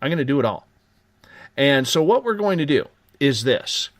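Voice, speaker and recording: A man hosts a late-night radio talk show, his voice sitting at an ordinary middle pitch. He rolls through announcements with upbeat, joking patter. The sound is dry and close, with no room around it. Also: big pitch swings, moderate pace, no room sound. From Canada.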